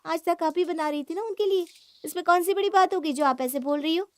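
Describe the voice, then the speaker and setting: Woman acting a high-voiced, defiant young wife. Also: fairly quick, a little mellow, no room sound. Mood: irritated. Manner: argumentative, complaining. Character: defiant, spoiled young married woman, quick to argue. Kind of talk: Hindi cartoon story acting.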